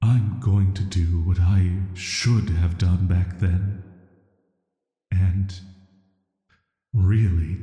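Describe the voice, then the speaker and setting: A man voicing an ancient banished villain in an audio roleplay, speaking softly, flatly and deliberately with a flirty, dangerous intimacy. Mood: tender, seductive. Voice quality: soft, low voice. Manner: flat, slow, deliberate, intimate. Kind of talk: atmospheric audio roleplay, sleep aid. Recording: echoey voice with long reverb.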